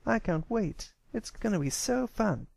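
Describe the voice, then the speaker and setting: An eager, friendly little cartoon filly, performed by a man. The voice sits well above a normal male pitch and bounces around with persuasive enthusiasm. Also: sing-song, measured pace, vocal fry, a little mellow, slightly rough, dry recording, exaggerated voice. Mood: cheerful.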